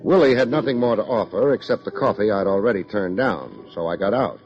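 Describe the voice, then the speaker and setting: A hard-boiled American private eye, wisecracks with a lively, swinging pitch and unflappable wit. It has the sound of a fifties radio drama, dull and lo-fi. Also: male voice, brisk, faint music behind. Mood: wry narration. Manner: wisecracking, deadpan. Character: wry, hard-boiled male private detective, unflappable and quick-witted. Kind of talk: 1950s American radio detective acting. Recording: dull lo-fi old radio recording.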